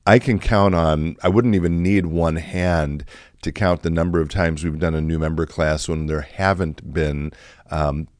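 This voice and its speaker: A man in middle age with a low, slightly raspy voice talks to his listeners like a pastor in a podcast, circling back with "um" and restarts. The recording is dry and close, with no room around him. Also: steady tone, unhurried, no room sound. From Chicago area, USA. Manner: conversational, many fillers and restarts. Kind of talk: reflective podcast talk.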